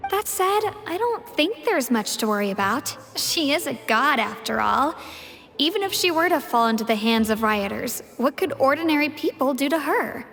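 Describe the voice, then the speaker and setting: High-voiced, formal female officer. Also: expressive, gravelly, a bit bright, in a large hall, exaggerated voice. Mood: calm, reassuring. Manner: formal, measured. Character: polite, composed female officer bringing official reports. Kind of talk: English game dub voice acting.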